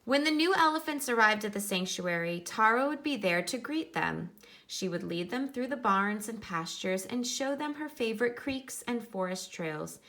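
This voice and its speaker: A woman's voice: fairly high pitch, lively intonation, normal speed, a bit bright. A woman teacher reading a nonfiction book aloud for children, instructive and explaining things in simple terms.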